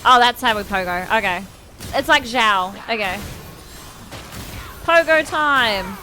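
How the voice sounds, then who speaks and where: Woman, game streamer, fairly high bright voice, slow casual chatter, giggly, gushing. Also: lively intonation, faint music behind. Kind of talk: casual livestream gameplay commentary.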